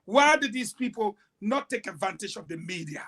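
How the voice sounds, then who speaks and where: A man with a high-pitched voice argues on a talk panel. He tells stories in emphatic, broken sentences. His delivery is animated. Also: normal speed. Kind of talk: heated panel discussion.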